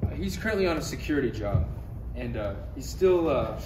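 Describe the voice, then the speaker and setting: A man's voice: medium pitch, sing-song, fairly quick, lightly acted. A man playing an anxious, earnest Jedi master, hesitant and flustered, in a read-through of a parody script. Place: room at a table read. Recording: roomy distant recording with clear noise.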